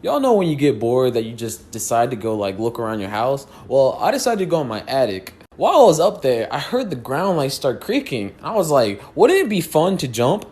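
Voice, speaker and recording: Animated young man telling funny stories. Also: medium pitch, brisk, few pauses, breathy edge. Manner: animated, expressive, cursing freely. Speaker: storyteller. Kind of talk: comedic storytime narration.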